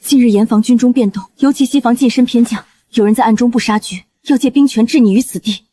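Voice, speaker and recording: A woman playing a shrewd, devoted general's wife, formal and measured, in a Chinese costume drama. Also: on the high side, warm tone, slight background noise, natural voice. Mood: urgent, commanding.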